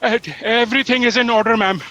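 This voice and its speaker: A man playing an eager, anxious young copy aide in a comic sci-fi audio drama, hurried and excitable. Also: very high voice, muffled recording, over loud music. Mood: breezy, hurried.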